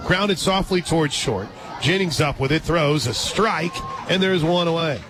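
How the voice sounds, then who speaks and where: A man doing live radio play-by-play of softball, chatty and informal, drifting into conversational asides between pitch calls. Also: a little slow, poor audio. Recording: crowd noise and loud music behind. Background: ballpark crowd, music, outdoor stadium ambience.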